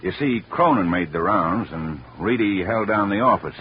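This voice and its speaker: Man playing a gruff, low-voiced police lieutenant. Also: lively intonation, natural voice. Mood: matter-of-fact. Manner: blunt, brisk, tough-talking. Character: gruff, matter-of-fact male police lieutenant. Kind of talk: 1950s radio drama acting. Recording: muffled old radio recording, faint noise.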